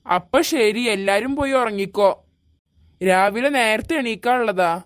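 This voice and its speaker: A performer voicing a calm, practical father in a comedy cartoon, giving matter-of-fact instructions. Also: mid-range voice, moderate pace, dry recording, studio quality, lightly acted. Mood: practical, instructing. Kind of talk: Malayalam comedy cartoon dialogue.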